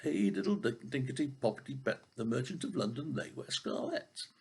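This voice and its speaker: A man's voice: mid-range voice, sing-song, fairly quick, vocal fry, husky, full character voice. An older man voicing tiny, cheeky tailor mice in a storybook, chanting in a sing-song way. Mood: teasing, sing-song.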